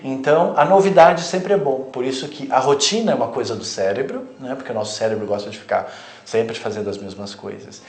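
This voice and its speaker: A man's voice: medium pitch, a little fast, a little mellow, reverberant. A Brazilian man, a therapist, explaining his spiritual ideas in an interview, reflective and often adding "né". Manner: reflective, explanatory, frequent "né" tags. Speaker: therapist, guest.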